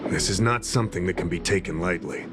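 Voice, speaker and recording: An actor playing a composed official in a video game, explaining things in a measured, earnest way. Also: male voice, deep voice, very noisy, lightly acted. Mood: cautioning. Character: composed male Realm Keeping official briefing an ally.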